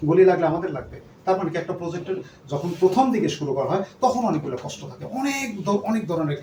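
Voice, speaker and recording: A man's voice: sits high, animated, fast, a little mellow. A man speaking earnestly to a gathering of shareholders as their company leader, repeating himself and dropping in English words. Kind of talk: speech to a gathering. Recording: echoey room, distant mic, background noise.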